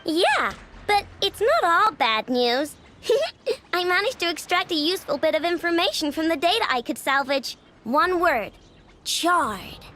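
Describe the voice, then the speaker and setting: A woman voicing an excitable, cocky young girl inventor in a video game, bubbly and boastful, full of exclamations. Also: very high-pitched, a little slow, a little hiss or hum, heavily acted. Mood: eager, matter-of-fact.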